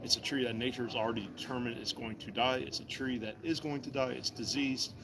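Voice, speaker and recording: A man's voice: medium pitch, steady tone, quick pace, noisy background. A man demonstrating wood carving at his table, chatting in a friendly, folksy way with passing customers. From Virginia. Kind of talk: casual demonstration chat. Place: vendor table in an indoor mall. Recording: busy mall crowd around the table. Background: crowd chatter.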